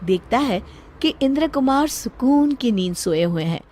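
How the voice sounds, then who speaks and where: A woman reading scripted narration for an animated moral story. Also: fairly high pitch, normal speed, slight background noise.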